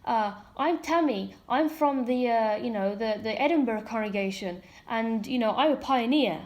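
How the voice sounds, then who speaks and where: A woman's voice: on the high side, normal speed, darkish tone. A woman from Ireland talking candidly in a casual monologue, using frequent fillers and mocking asides. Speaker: ex-member commentator.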